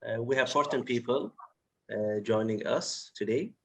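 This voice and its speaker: Man from Bahrain, husky voice, halting host, video call. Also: medium pitch, fairly bright tone. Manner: halting, repeats words, many uhs. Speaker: host of online workshop. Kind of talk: casual video-call chat.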